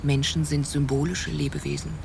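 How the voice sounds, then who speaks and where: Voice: mid-range voice, level tone, moderate pace, natural voice. An actor playing a sober interpreter who recites translated aphorisms in a radio play. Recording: clear background noise.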